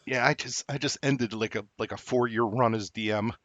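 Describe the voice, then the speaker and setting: A middle-aged man co-hosting a podcast in casual chat, rambling with many halting restarts and fillers. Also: medium pitch, fairly even intonation, a bit bright, no room sound. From New Jersey. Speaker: podcast co-host. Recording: dull lo-fi dry recording.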